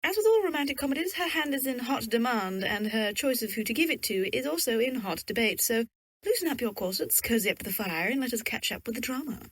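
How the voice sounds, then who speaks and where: A woman's voice: on the high side, expressive, fast, slightly rough, dry recording. A woman host giving a scripted introduction to listeners of an audio drama.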